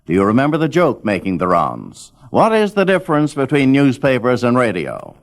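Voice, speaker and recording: A man's voice: medium pitch, huge pitch swings, moderate pace. A man reading scripted documentary narration as the narrator of a history of radio.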